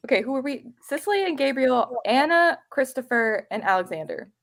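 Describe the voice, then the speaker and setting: A woman with a slightly high voice that moves up and down a lot. She chats casually and animatedly, giggly, in the manner of a livestream co-host. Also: animated, brisk. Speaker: co-host of a book discussion livestream. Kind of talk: casual chat.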